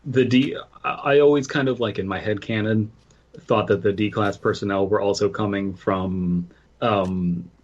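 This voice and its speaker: A man co-hosting a casual podcast, reading documents slowly with hesitations and filler words. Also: fairly low pitch, steady tone. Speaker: podcast co-host. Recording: muffled lo-fi podcast mic.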